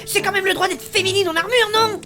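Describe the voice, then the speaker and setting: A woman's voice: extremely high pitch, steady tone, fast talker, music in the background. A woman playing an outspoken, argumentative, proudly feminine warrior in armour in a comedic fantasy audio drama. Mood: indignant. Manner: argumentative, emphatic, coarse-tongued.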